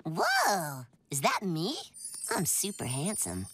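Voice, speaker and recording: A man voicing a goofy, slangy, playful teenage boy superhero in an animated comedy cartoon. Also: on the high side, takes it slowly, slightly rough, heavily acted. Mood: amused. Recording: loud music behind the voice.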